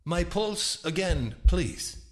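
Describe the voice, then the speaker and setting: A man playing a poised stage illusionist in an audiobook, formal and calmly assured as he addresses his audience. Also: high-pitched, takes it slowly. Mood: calm, showmanlike. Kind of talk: acted audiobook dialogue.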